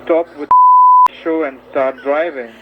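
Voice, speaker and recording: Impatient, higher-pitched man on noisy muffled phone. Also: fairly even intonation, unhurried. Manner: impatient, bossy, scolding. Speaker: phone scam caller. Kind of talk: phone conversation.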